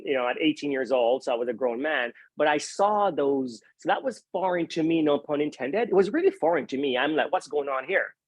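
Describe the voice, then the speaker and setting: A man's voice: mid-range voice, no echo. A middle-aged man from Jamaica with a Jamaican accent, speaking earnestly as a panelist, often saying "you know". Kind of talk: panel discussion.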